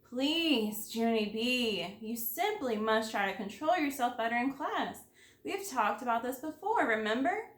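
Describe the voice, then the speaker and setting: A woman's voice: fairly high pitch, lively intonation. Woman as a firm kindergarten teacher, roomy sound. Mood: stern, patient. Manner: measured, instructive.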